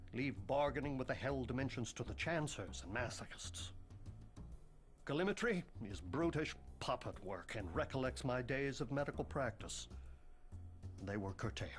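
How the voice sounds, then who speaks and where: A man playing a morbid, pompous, bookish headmaster of an occult academy in a video game cutscene, speaking in ornate, deadpan phrases. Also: mid-range voice, natural voice. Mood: haughty.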